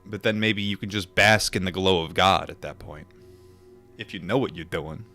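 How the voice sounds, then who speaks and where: A man reading a book aloud and adding informal asides, in a measured, deliberate manner. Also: sits low, bright, forceful tone, soft background music. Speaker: audiobook reader and commentator.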